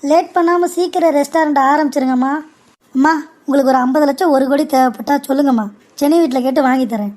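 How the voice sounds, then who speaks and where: A woman voicing a loyal, eager young son in a cartoon parody, supportive and flattering. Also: sits high, fast, close to an everyday voice.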